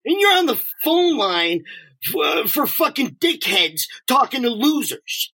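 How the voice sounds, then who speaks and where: A man's voice: extremely high pitch, big pitch swings, measured pace, creaky, bright, forceful tone, slightly rough, full character voice, poor audio. A man playing a desperate, bitter homeless drug addict in an audio drama, agitated and stammering, swearing and sarcastic. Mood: bitter, mocking. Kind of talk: naturalistic two-person audio drama acting.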